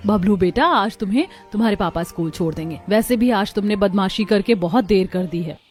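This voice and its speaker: A woman voicing homely village women of one family in a cartoon story, with expressive talk. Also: mid-range voice, fairly quick, faint music behind, natural voice. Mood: matter-of-fact. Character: village women of a family, daughters-in-law and mother-in-law. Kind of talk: Hindi cartoon story dialogue.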